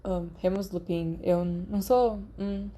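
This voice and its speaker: Woman as a mellow, hesitant Brazilian schoolboy. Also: sits high, fairly even intonation, takes it slowly. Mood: nervous. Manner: hesitant, muttering. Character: shy, nervous schoolboy, wary and self-doubting.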